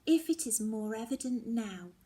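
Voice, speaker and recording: A woman voicing a gentle, earnest mother who speaks in a soft, breathy, soothing and hushed manner in a storybook reading. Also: a little high, lively intonation, normal speed, natural voice. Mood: tender, earnest. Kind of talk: dramatic children's novel reading.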